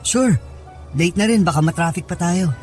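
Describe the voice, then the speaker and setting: High-pitched, breezy young boyfriend. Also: male voice, animated, moderate pace, darkish tone. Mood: breezy, affectionate. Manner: breezy, casual. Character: easygoing, affectionate young boyfriend and doting son. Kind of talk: radio drama acting. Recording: music under the voice.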